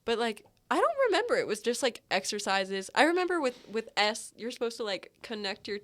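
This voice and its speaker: A woman's voice: on the high side, very animated, brisk, fairly bright tone. Chatty young woman with a slight lisp. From Maryland, USA. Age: young adult. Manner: chatty, laughs often, many "like" fillers. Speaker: guest singer-songwriter. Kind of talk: casual podcast chat.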